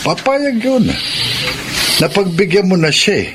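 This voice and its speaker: A man's voice: sits high, very animated, unhurried, background noise. An actor playing a frank, encouraging older male confidant in a radio drama, with a direct, coaxing manner. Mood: coaxing, exasperated. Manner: direct, coaxing, mildly scolding.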